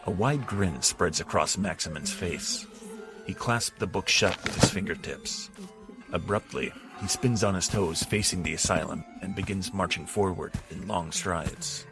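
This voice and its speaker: Man, narrator, very low voice, gravelly fry, steady scripted telling, music underneath. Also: measured pace. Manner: steady, descriptive storytelling. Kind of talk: scripted second-person narration.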